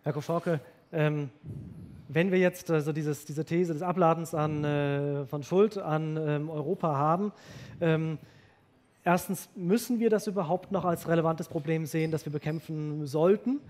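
A man's voice: on the high side, fairly even intonation, moderate pace, a little mellow. A middle-aged man moderating a stage panel, asking long questions with frequent hesitations. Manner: hesitant questioning, many fillers. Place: stage of a lecture hall. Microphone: handheld microphone.

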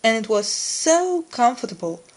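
A woman narrating a scripted children's story, phrasing it deliberately for English learners. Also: on the high side, big pitch swings, husky, soft background music.

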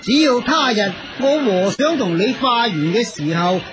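High-voiced, drawling eccentric monk. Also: male voice, animated, unhurried, rough recording. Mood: teasing, unhurried. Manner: drawling, nonchalant. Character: easygoing, teasing eccentric monk who loves drink. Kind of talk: Cantonese radio drama acting. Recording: music behind the dialogue.

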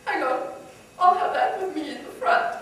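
A woman's voice: very high-pitched, animated, normal speed, a bit bright, far from the mic, pitched above the performer's own voice. In a very echoey, noisy room, a woman acts out a drunk ex-husband from a comic story. He is maudlin and needy, pleading and faltering in a raised voice. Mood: insistent.

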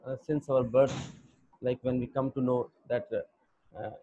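A young Pakistani man with a Pakistani accent, lecturing an online English class in a halting way with many fillers. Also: mid-range voice, steady tone, mellow, breathy edge, no echo. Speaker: English teacher. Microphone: computer mic on a video call. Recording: dull lo-fi video call audio.

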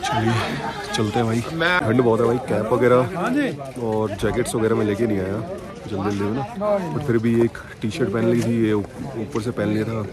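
A man's voice: on the low side, moderate pace, loud music behind the voice, over a noisy crowd. A man chatting casually and enthusiastically to viewers as a travel vlogger, mixing in English words. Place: outdoors on a mountain trip. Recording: outdoor noise with vehicle sounds.

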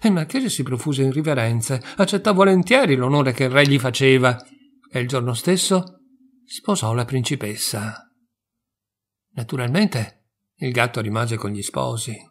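Male storyteller, mid-pitched, lively fairy-tale narration. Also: normal speed. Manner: expressive, animated narration.

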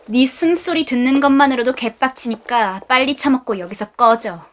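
A woman's voice: high voice, moderate pace, close to an everyday voice. A woman voicing a hostile young woman in an amateur audio drama, cursing and talking with sarcastic contempt. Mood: contemptuous.

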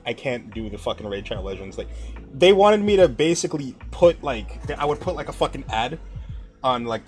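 A young man chatting casually on a live stream, rambling and swearing as he reads viewer messages. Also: mid-range voice. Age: young adult. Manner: rambling, swearing, laughing, with animated bursts. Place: dim room at a desk.

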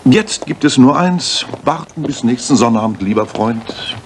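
An actor playing a self-assured gentleman detective in a radio play, wry, precise and theatrically superior. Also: mid-range voice, expressive, a little slow, noisy background. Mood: cool, probing. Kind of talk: German radio play acting.

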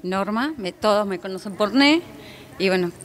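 A woman's voice: medium pitch, animated, moderate pace. An Argentine woman in her thirties, a hairdresser and proud mother, talking at length in an interview at an indoor event. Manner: proud, talkative storytelling. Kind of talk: interview answers. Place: indoor school hall.

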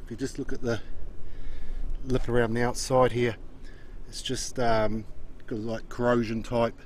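A man's voice: mid-range voice, flat delivery, unhurried, slight background noise. A man giving an unscripted, matter-of-fact walk-around commentary outdoors, plain-spoken and often marking each point with an okay. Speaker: car auction agent presenting a walk-around. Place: outdoors in an open car yard.

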